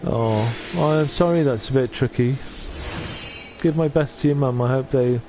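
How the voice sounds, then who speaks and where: A man from North Wales streaming from his sofa at home. He chats gently with his viewers and often stops and restarts. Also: medium pitch, unhurried, noisy background. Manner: gentle, hesitant, with many restarts. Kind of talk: casual chat reading out viewer comments.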